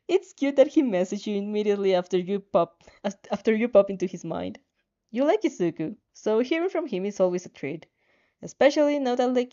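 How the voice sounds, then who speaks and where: A woman narrating a story in an expressive amateur storytelling style. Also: medium pitch, lo-fi sound. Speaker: fan fiction reader.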